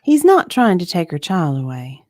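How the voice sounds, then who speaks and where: A woman's voice: on the low side, big pitch swings, normal speed, darkish tone, no room sound, studio quality. A woman chatting casually and reading witness answers as a guest, with an easygoing manner. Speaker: guest reader.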